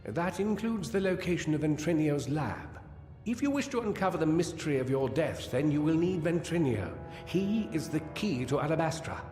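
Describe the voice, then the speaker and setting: A man playing a grave, persuasive counsellor in a fantasy game, speaking deliberately and formally. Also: on the high side, expressive, a little mellow, natural voice. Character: grave male counsellor and strategist, measured and persuasive. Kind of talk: scripted fantasy game dialogue. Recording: echoey hall, music behind.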